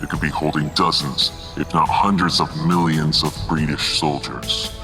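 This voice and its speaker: A man playing a cool, calculating mastermind in an audio drama, with a measured, formal delivery, music playing beneath. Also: a bass voice, fairly even intonation. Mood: cool, pleased. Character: cool, calculating male mastermind of a secret organization.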